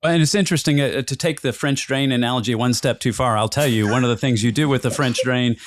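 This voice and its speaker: Male podcast host, medium pitch, dry recording, conversational interviewer who reasons aloud in analogies. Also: fast, no room sound. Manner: explains through extended analogies, rhetorical "right?" checks.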